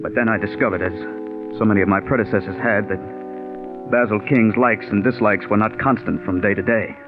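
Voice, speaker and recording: Man playing a bitter scriptwriter, intense, brooding, medium pitch, 1940s radio drama, dull recording with music behind. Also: steady tone, a little fast, lightly acted. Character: bitter, stubborn, overworked male radio scriptwriter. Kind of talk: 1940s radio acting with first-person narration.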